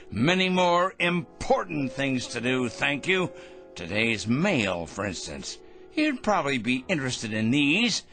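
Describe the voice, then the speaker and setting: An actor playing a cold, self-righteous crank obsessed with punishing evil in a radio drama, pompous and sneering in formal, precise diction. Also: male voice, medium pitch, pushed and bright, full character voice.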